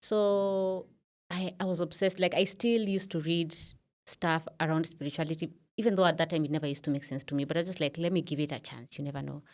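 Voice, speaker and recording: Low-voiced Kenyan woman, reflective. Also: fairly even intonation, a little fast. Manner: reflective, storytelling, with frequent fillers. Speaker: podcast guest, herbalist. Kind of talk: casual interview talk. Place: podcast studio.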